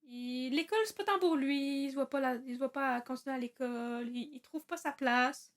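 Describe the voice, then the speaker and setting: Young woman w/ quebec accent, bit high voice, laid-back slangy podcast talk. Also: fairly quick. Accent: Quebec French. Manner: relaxed, slangy, with fillers like "genre" and "comme". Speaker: podcast co-host. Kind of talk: casual chat.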